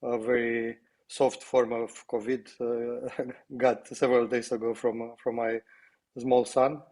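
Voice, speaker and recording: Halting, slightly hoarse male presenter. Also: mid-range voice, level tone, darkish tone. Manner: halting, self-deprecating. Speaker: company co-founder presenting. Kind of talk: webinar presentation. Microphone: video call.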